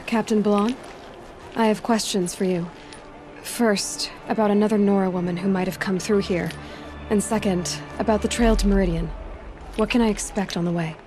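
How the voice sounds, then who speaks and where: A woman's voice: medium pitch, fairly even intonation, normal speed, over music, close to an everyday voice. Plain-spoken young huntress played by a woman. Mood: businesslike. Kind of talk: video game dialogue acting.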